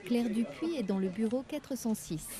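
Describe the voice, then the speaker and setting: A woman reading everyday French dialogues for learners, with clear, careful scripted delivery. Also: a little high, animated, moderate pace, crowd noise, close to an everyday voice.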